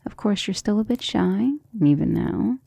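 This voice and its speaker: A woman voicing a centuries-old vampire lover in an ASMR romantic roleplay, soft, breathy and often whispering, intimate and gentle, with formal wording. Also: medium pitch, lively intonation, normal speed, dry recording, clean studio sound. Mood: admiring, affectionate. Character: centuries-old female vampire lover, tender, protective, self-assured.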